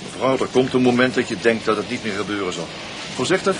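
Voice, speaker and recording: Over noisy ambience, a weary police detective acted by a man speaks Netherlands Dutch in a medium-pitched voice. He is businesslike and clipped, a sleepless investigator in a naturalistic radio play. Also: quick pace, natural voice. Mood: grave, businesslike. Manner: matter-of-fact, clipped questioning. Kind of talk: naturalistic radio drama acting.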